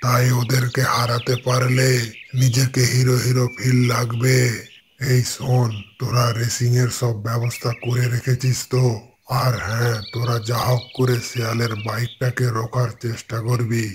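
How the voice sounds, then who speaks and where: Man voicing a bossy cartoon tiger boss, fairly flat. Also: mid-range voice, moderate pace, lightly acted. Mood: scheming, boastful. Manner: bossy, ordering henchmen around. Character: boastful, scheming tiger gang boss, male. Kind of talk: Bengali animal cartoon dubbing. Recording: noisy track with clicks.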